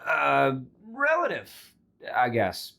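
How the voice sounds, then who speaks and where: A brash, boastful game hero with a good heart. His delivery is casual and swaggering, with lively swings in pitch. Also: slow, gravelly, heavily acted. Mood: hearty, reassuring. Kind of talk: video game voice acting.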